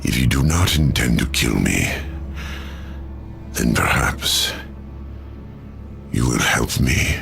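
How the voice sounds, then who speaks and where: A man voicing the ancient Angel of Death in a video game cutscene, solemn and measured, sometimes dropping to a hush. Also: rumbling low, animated, creaky, soft background music, full character voice. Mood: grave. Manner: solemn, measured, urgent hushed pleading. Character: ancient weary male Angel of Death, grave. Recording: game score under the voice.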